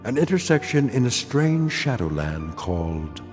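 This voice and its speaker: A man acting as a grave, ominous narrator of an eerie radio drama, speaking with deliberate weight. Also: mid-range voice, sing-song, in a large hall. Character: grave omniscient male narrator of an eerie anthology. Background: music.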